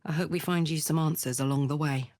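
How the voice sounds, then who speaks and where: A woman playing a brash, warm-hearted, tough tiefling warrior in a video game, speaking in a blunt, slangy, heartfelt way. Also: low-pitched, steady tone, quick pace, no echo, clean studio sound. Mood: sincere, easygoing. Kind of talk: video game character voice acting.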